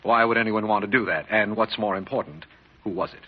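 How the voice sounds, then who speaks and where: An actor playing a businesslike, plain-spoken official who questions briskly, in 1940s radio drama style. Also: male voice, fairly low pitch, lightly acted. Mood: probing. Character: businesslike, questioning male official, detective's friendly colleague. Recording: dull vintage radio recording.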